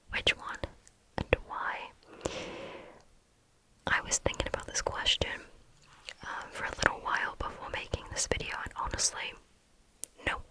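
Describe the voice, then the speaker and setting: A woman answering viewer questions in a frank, chatty ASMR whisper, often pausing on "um". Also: bright, forceful tone, rough recording. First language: English.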